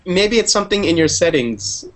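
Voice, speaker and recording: A man's voice: high-pitched, expressive, normal speed. A young man teaching an online English class on a video call, upbeat and encouraging, often saying cool. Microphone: earphone mic on a video call.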